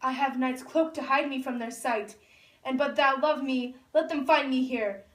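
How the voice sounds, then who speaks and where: A lovestruck young man in a puppet play , voiced by a woman, high in pitch, declaims ardent, poetic verse. The voice is recorded in a very echoey room, well away from the microphone. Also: steady tone, moderate pace, a bit bright. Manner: declaiming verse, reciting from a script. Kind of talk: Shakespeare recited for a school puppet show. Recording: echoey room, far from the mic.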